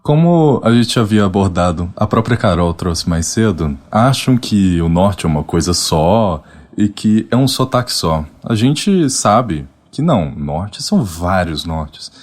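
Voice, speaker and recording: A man's voice: deep voice, big pitch swings, a little slow, darkish tone. A man co-hosting a podcast, framing the topic and asking the guests questions. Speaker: podcast co-host.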